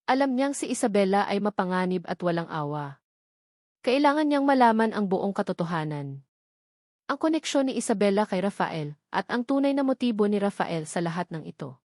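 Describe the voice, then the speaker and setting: A woman's voice: medium pitch, big pitch swings, fairly quick, darkish tone, no room sound, clean studio sound. A woman with a Filipino accent narrating a scripted dramatic romance story.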